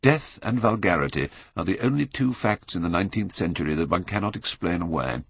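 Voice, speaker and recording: Man acting cynical Victorian gentleman, British accent, blasé drawl, husky, swooping delivery, storybook reading. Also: low voice, lively intonation, fairly quick, dry recording, exaggerated voice. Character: languid, witty, cynical Victorian aristocrat, older gentleman.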